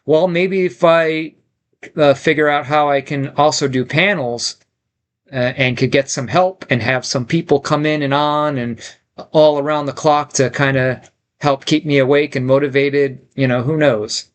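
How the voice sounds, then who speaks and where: A man's voice: a little high, steady tone, a little slow. A man casually chatting on a livestream, reading viewer comments aloud and rambling with lots of fillers and restarts. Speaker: streamer reading viewer chat.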